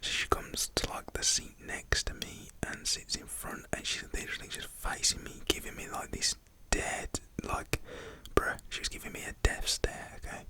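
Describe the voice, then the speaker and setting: A man's voice: normal speed, sharp tone, rough recording. A young man telling a story in a casual, rambling whisper, full of fillers.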